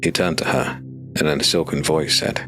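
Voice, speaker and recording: A man narrating a dark fantasy audio drama in a measured, steady storytelling voice. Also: very deep voice. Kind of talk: scripted narration. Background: music.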